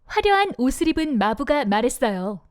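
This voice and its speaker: A woman narrates a children's fairy tale in a high, animated voice. The delivery is scripted and expressive, in a close, dry recording. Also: moderate pace, no room sound. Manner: expressive storytelling for young children. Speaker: storybook narrator.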